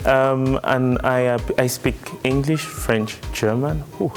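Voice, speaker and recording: A man's voice: medium pitch, steady tone, takes it slowly, faint music behind. A man with a Cameroonian accent, earnestly making his case as a panelist in a discussion. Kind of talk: earnest debate argument.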